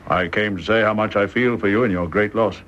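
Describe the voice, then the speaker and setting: A man's voice: lowish voice, steady tone, a little fast, fairly bright tone, a little hiss or hum, natural voice. A man with a British accent playing a courteous, upright major in a radio drama, polite and formal. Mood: sympathetic. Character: courteous, upright retired police major, protective of family. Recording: dull, muffled radio drama recording.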